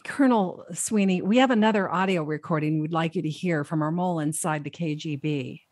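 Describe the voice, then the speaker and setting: An actress playing a serious, composed American intelligence agent in a Cold War radio play. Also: female voice, sits low, brisk, darkish tone, dry recording.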